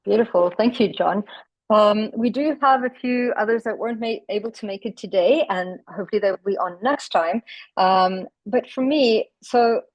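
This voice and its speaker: A woman's voice: mid-range voice, moderate pace, no echo, poor audio. A woman from South Africa hosting a group video call, talking in a reflective, conversational way. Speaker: host.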